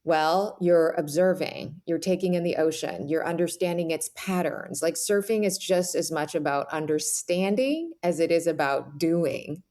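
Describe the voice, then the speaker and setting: A woman's voice: sits low, measured pace, hardly any pauses, warm tone. A woman speaking as a podcast guest, reflective and conversational, with casual phrasing.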